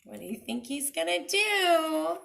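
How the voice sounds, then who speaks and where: A woman's voice: extremely high pitch, very animated, measured pace, vocal fry, fairly bright tone. A woman reading a children's story aloud with dramatic expression, speaking directly to young listeners.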